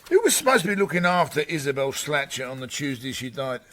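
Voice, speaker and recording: An actor playing a gruff, bullying, middle-aged British chief inspector in a radio drama, blunt, impatient and sarcastic. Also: male voice, medium pitch, very animated, fast talker, a bit bright. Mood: grumpy.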